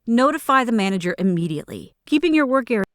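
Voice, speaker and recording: A woman with a slightly high voice narrates instructional training material. Her delivery is formal and measured with careful enunciation, recorded close and very dry in a studio. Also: sing-song, no echo. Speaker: narrator of workplace safety training. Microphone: close studio microphone in a booth.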